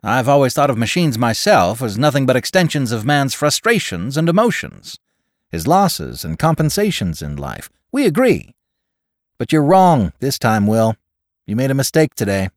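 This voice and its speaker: Man voicing a clipped, insistent, high-pitched advising friend. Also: very animated, moderate pace, no echo, clean studio sound, natural voice. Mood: brisk, insistent. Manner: clipped, exact, persuasive. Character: clipped, coolly logical male friend advising by phone.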